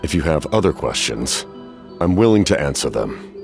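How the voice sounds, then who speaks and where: Game commander: extremely low voice, solemn, guarded, measured, resolute, faint music underneath. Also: male voice, sing-song, creaky, heavily acted. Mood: guarded, calm. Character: grave, guarded masked male war commander, solemn and resolute. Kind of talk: scripted video game voice acting.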